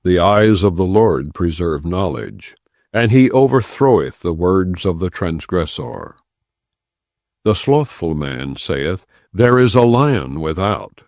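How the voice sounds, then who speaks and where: Deep, slow, gravelly male scripture narrator. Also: expressive, darkish tone, no echo, clean studio sound. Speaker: audiobook narrator. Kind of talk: scripted scripture reading, non-dramatized.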